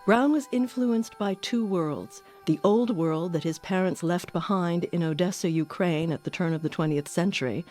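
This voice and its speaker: A woman's voice: on the low side, moderate pace, few pauses, warm tone. A woman reading scripted documentary narration as the host of an audio series. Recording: music under the voice.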